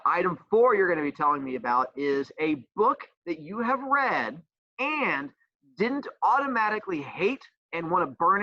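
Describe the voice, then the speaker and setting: A male teacher walks his class through homework, bouncing all over in pitch with jokes and mock outrage. His voice is bright and pushed forward. The recording is dry and a little dull. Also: dry recording. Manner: joking, playful teasing; says he has a loud voice. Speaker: teacher and school coach. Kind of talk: explaining a homework assignment.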